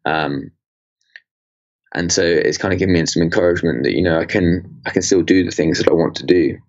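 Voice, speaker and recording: Low-voiced young man, rambling. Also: fairly quick, dull audio. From England. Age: 20s. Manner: rambling, many fillers and restarts. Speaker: podcast guest, entrepreneur. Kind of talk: casual interview chat.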